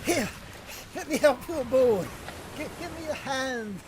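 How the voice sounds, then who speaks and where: A woman's voice: high voice, lively intonation, unhurried, noisy background. An actress playing a cold, scheming female saboteur in an amateur radio drama. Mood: urgent. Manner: urgent, then panicked screaming.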